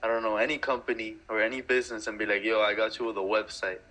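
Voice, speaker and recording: Bright-voiced, slangy young man. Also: on the low side, flat delivery, quick pace, poor audio. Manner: laid-back, slangy, swears a lot. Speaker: livestream host. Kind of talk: casual chat. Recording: faint background music.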